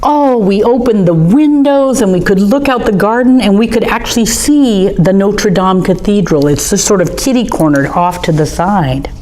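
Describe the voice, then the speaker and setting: A woman's voice: mid-range voice, normal speed, hardly any pauses, mellow, poor audio. Chatty woman reading to kids. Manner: chatty, friendly, speaks straight to young kids. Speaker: museum storytime reader. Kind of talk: reading a picture book aloud to children. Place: art museum gallery, indoors.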